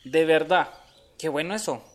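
A man's voice: a little high, moderate pace, lightly acted. An actor playing an earnest male Honduran activist in a radio drama, chatting in a colloquial way. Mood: friendly. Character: Juancho, man activist chatting earnestly with a comrade. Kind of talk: scripted radio drama dialogue, conversational. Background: plucked guitar music.

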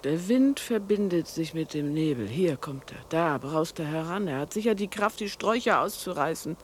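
A woman's voice: deep voice, expressive, moderate pace, slight background noise. An actress playing a placid, fatalistic, hard-of-hearing mother in a radio drama, musing and soothing in a repetitive way. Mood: musing, vague.

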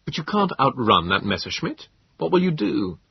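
A man voicing an excitable, indignant gentleman adventurer in an audiobook, exclaiming and incredulous. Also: mid-range voice, normal speed, vocal fry, slightly rough, no echo, dull audio, voice pushed up, exaggerated voice. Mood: alarmed, indignant. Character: excitable, indignant gentleman adventurer telling his own tale.

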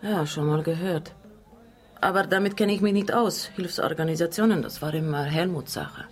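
A woman's voice: lowish voice, normal speed, faint music behind, close to an everyday voice. An actress playing a guarded, dismissive girlfriend of a murdered man in a radio drama. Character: guarded Ukrainian woman, murdered man's girlfriend.

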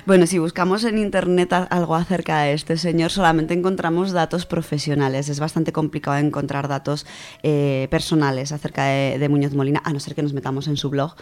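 A woman's voice: sits low, fairly even intonation, brisk, a little mellow. A woman with an accent from Spain presents an informal book segment in a chatty, colloquial, enthusiastic way. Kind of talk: informal spoken book review.

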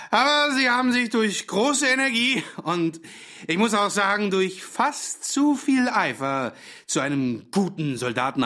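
Radio play role: condescending lieutenant commander, raised male pitch, formal, stiff, deliberate. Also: pushed and bright. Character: stiff, patronising naval officer, a lieutenant commander. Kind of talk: radio drama acting.

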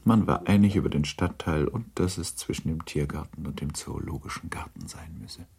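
Very deep-voiced male literary narrator. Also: moderate pace, gravelly, darkish tone, slight background noise. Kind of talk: literary scripted narration for radio drama.

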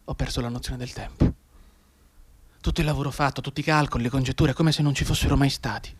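An actor playing a mute, bedridden wounded man in an intense radio monologue, going between hushed brooding and anguished urgency. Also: mid-range voice, fairly even intonation, quick pace, breathy edge, close to an everyday voice. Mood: weary, resigned. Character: mute, bedridden wounded man desperately tapping out Morse code.

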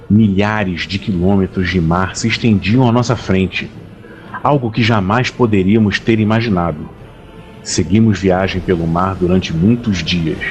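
A man playing a grave, weary warrior captain who narrates his expedition in an audio drama, solemn and measured. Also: low-pitched, a little mellow, close to an everyday voice. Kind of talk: dramatic first-person scripted narration. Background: faint music.

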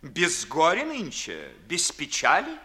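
An actor playing a proud, witty young gentleman in a 1950s classical radio play, declaiming verse with sardonic emphasis. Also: fairly high pitch, wildly swooping pitch, slow, full character voice. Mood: mocking.

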